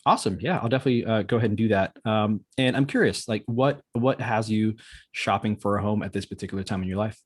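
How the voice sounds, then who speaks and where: A low-pitched man talks quickly through a practice real estate sales call. His voice has a rough, husky edge. He is polite and agreeable, repeating details back, and occasionally stammers over a word. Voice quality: occasional stammer, gets hung up on words. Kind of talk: role-played phone sales call.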